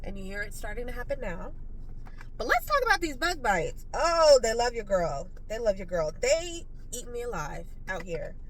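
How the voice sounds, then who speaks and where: A woman in her thirties chatting casually to her phone camera from inside a car. Her nose is stuffy and she talks in an animated, self-mocking way. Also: on the high side, normal speed, slight background noise, low-quality recording. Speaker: vlogger. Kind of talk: casual vlog chat.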